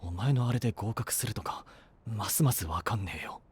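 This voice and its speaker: Low, brash young knight hopeful. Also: male voice, a little fast. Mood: exasperated, puzzled. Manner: rough, blunt, exasperated. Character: brash, sceptical young male would-be knight. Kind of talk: anime voice drama acting.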